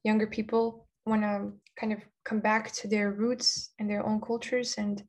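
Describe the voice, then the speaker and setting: A young woman from Kazakhstan whose first language is Kazakh, answering interview questions casually and often pausing on "like" while searching for words. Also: medium pitch, flat delivery, darkish tone. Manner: chatty, many "like" fillers, searches for words. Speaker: interview guest.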